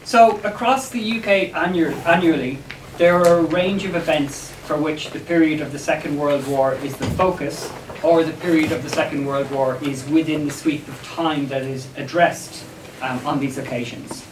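A man with an Irish accent presenting an academic paper in a measured, slightly hesitant way to a seminar room. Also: fairly high pitch. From Ireland. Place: wood-panelled seminar room, indoors. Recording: echoey room, distant mic, background noise.

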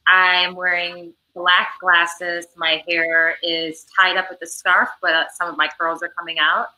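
Woman panelist, bright tense tone, lowish pitch, friendly, unhurried, video-call audio from home. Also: level tone, low-quality recording. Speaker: panelist, film director. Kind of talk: self-description on a video panel. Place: indoor room at home.

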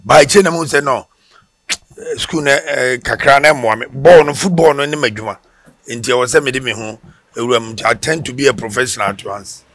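A middle-aged Ghanaian man with a Ghanaian accent, a former footballer telling his story in a relaxed way, with restarts, in a casual outdoor interview on a headset mic. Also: mid-range voice, fairly quick, no room sound. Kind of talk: casual interview in Twi mixed with English. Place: outdoor porch by brick wall.

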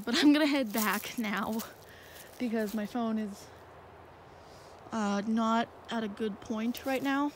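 A young woman with a fairly high voice wanders through a dark marsh at night, filming on her phone. She rambles and hesitates, filling her speech with little fillers. The phone recording carries plenty of outdoor noise. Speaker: vlogger. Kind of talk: handheld night walk vlog. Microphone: phone held in hand.

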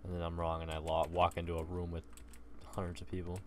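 A man's voice: sits low, monotone, fast, a little mellow, slight background noise. A young man from Ecuador streaming a game on a headset mic, joking and laughing often with a friend. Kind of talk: casual gaming chat. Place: indoor room at a computer.

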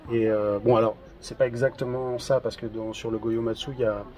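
A man in his thirties presenting trophies outdoors in a garden, in a relaxed, hesitant casual chat with many fillers. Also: mid-range voice, a little fast. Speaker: event organizer presenting trophies.

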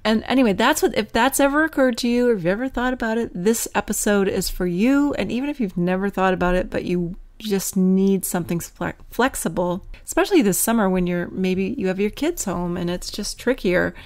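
A woman's voice: medium pitch, fairly quick, hardly any pauses. A woman in her forties hosting a podcast, talking in a chatty, upbeat way and laughing often. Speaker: podcast host. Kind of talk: casual podcast chat.

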